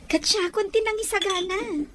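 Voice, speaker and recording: A woman's voice: very high-pitched, normal speed, noisy background. A woman playing a coy, eager, lovestruck young student in a radio drama, chatty in manner. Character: coy, eager, lovestruck schoolgirl from a rich family.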